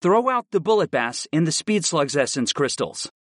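A stern yet caring professor performed by a man in a fantasy audiobook. He instructs firmly, in a fairly high male voice with animated intonation and a dry, close sound. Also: moderate pace, dry recording. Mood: instructing. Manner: instructive, firm. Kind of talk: audiobook character acting.

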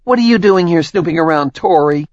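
A man's voice: a little high, animated, fairly quick, no echo, pitched above the performer's own voice, natural voice. A male narrator voicing a hostile, sneering woman who speaks sharply and with scorn. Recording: dry, slightly dull audiobook recording.

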